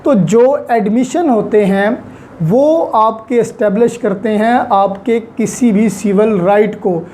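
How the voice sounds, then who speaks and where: A woman with a Pakistani accent giving an explanatory law lecture, deliberately and with repeated key points. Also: mid-range voice. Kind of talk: explanatory lecture mixing in English legal terms. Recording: faint steady background noise.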